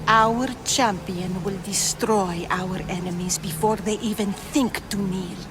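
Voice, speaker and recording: A woman's voice: medium pitch, fairly even intonation, measured pace, noisy background, close to an everyday voice. A woman voicing a proud, boastful cult zealot in a video game, reverent, imperious and grandiose. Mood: reverent, exultant. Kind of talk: dramatic video game acting.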